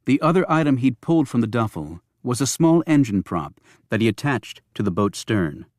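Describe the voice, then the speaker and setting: A man's voice: mid-range voice, sing-song, moderate pace, darkish tone, dry recording, clean studio sound. A man narrating a thriller audiobook from a script.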